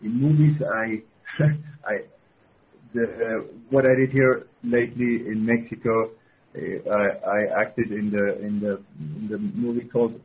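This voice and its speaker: Husky Swiss man on a phone line. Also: on the low side, fairly even intonation. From Switzerland. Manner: halting, restarts phrases, laughs often. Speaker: guest. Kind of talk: casual interview. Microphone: telephone. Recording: phone-line call-in audio.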